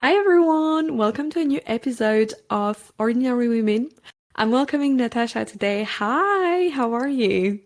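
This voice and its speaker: A young woman hosting a podcast interview, asking questions in a friendly, upbeat way with some halting restarts. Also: fairly high pitch, animated. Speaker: podcast host. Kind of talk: casual interview.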